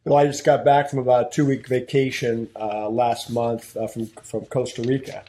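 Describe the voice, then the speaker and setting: A man's voice: medium pitch, little pitch movement, moderate pace, mellow. A man on a talk show asking a long question hesitantly, with many restarts.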